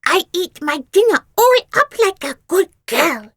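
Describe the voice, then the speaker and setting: A woman reading aloud, voicing a gruff fanged girl who growls her words high. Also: sing-song, a little slow, fairly bright tone, dry recording, clean studio sound, full character voice. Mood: growling.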